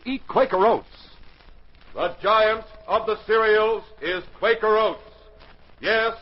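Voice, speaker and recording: A male announcer from 1940s radio with a fairly high, husky voice. His delivery is dramatic and emphatic, swinging widely in pitch. The recording sounds dull and dated. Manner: dramatic, emphatic, carefully enunciated. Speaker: announcer and narrator.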